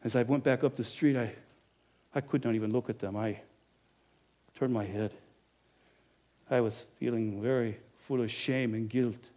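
A man playing a businesslike village innkeeper in an animated first-person monologue, emphatic and speaking straight to the audience. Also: mid-range voice, moderate pace, long pauses, natural voice. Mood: guilty. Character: businesslike male village innkeeper, proud then guilty.

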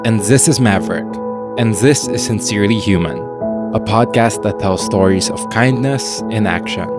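Deep-voiced man narrating over music. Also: moderate pace. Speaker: podcast co-host and narrator. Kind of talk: scripted narration. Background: loud music bed.

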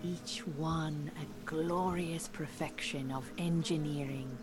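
A woman playing a firm, measured beekeeping teacher in an audio drama, giving instructions. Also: low voice, steady tone, music in the background, low-quality recording. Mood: quietly pleased.

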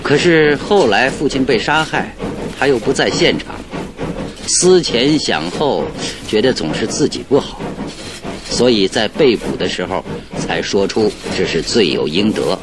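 An actor playing a confident male detective in a radio drama, reasoning out a case with deliberate, theatrical authority. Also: very animated. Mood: assured. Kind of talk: Mandarin radio drama acting. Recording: noisy old radio drama, train rumble behind.

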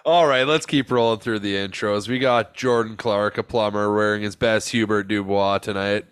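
A man's voice: lowish voice, steady tone, normal speed, clear, resonant tone, no echo. A man with a stuffed-up, congested voice runs a casual podcast chat. He talks in a loose, laid-back way, dropping fillers and the odd swear. The sound is dry with no room around it. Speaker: podcast host.

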